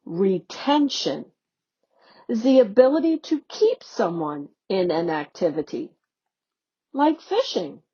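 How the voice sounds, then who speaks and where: A woman educator reading a children's newsletter aloud in a slow, deliberate, teacherly way. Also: mid-range voice, very animated, dark tone, slightly rough. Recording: dull, lo-fi recording.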